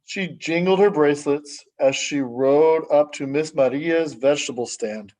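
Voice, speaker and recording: A man reading a children's story aloud expressively, with measured, dramatic phrasing and hushed sentence endings. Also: fairly high pitch, poor audio. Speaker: story reader. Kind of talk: expressive children's picture book read-aloud.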